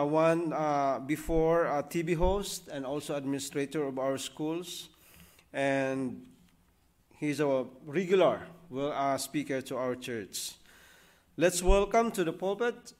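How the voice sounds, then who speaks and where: Hesitant man introducing a church speaker. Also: on the high side. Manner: hesitant, with many fillers. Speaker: church service leader.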